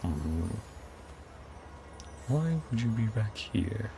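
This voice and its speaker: A man's voice: on the low side, big pitch swings, drawn-out words, creaky, noisy background. A man giving casual let's play commentary, thinking aloud and reading game text out, often with a chuckle. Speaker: game streamer. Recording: game music behind voice. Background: video game music.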